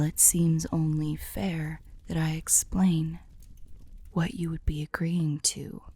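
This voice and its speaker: A woman playing a teasing, sly demon in a scripted ASMR roleplay, speaking formally in soft, breathy half-whispers close to the microphone. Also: fairly low pitch, no echo, full character voice. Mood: sly bargaining. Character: teasing, sly female demon offering a soul contract.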